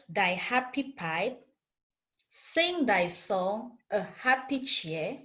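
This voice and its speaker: A woman with a fairly high voice presents poetry in an informal online group. Her delivery is very slow and deliberate, with each phrase given plenty of time. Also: a bit bright. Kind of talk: informal introduction and poem recitation. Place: online video call.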